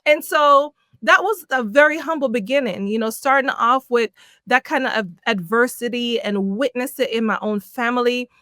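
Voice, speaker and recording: A middle-aged woman from Jamaica, a podcast guest telling her life story in an animated way, laughing often. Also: on the high side, normal speed, no echo, studio quality. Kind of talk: personal storytelling in an interview.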